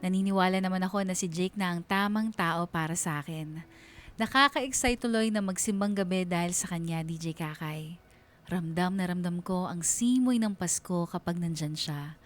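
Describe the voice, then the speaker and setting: A woman's voice: lowish voice, moderate pace, lightly acted. An actress playing a smitten young woman narrating her own love story for a radio drama, confiding and giddy.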